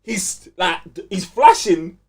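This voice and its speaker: A man's voice: high-pitched, slow, a little hiss or hum. A young man with a London accent co-hosting a podcast, chatting in heavy street slang and telling stories emphatically. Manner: animated, emphatic storytelling. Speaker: podcast co-host.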